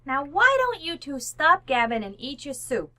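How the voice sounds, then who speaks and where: A woman's voice: sits high, big pitch swings, normal speed, bright, forceful tone, full character voice. A woman acting a brash, nosy, slangy housekeeper in an old-time radio comedy, blunt and overly familiar. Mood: brash, nonchalant.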